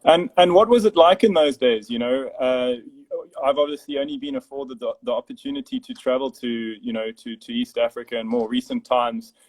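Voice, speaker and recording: Man, interviewer, casual live online chat, tentative halting phrasing, mid-range pitch. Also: very animated, a little mellow, low-quality recording. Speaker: interviewer, production company director. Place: live social media video chat.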